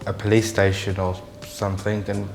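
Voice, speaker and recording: A man recalling his experiences in an interview in a plain, halting way. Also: lowish voice, monotone, creaky, over music. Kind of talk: interview testimony.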